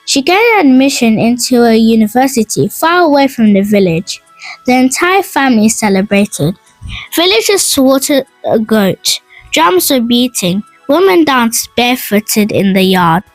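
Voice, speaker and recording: A woman narrating a scripted folk tale in a dramatic, expressive and measured storytelling manner. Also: fairly high pitch, no room sound, rough recording. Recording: dry voice over music. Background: music.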